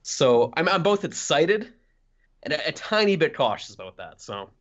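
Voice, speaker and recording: A man's voice: a little high, big pitch swings, normal speed, highs cut off. A man co-hosting a podcast, chatting casually about video games. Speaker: podcast co-host.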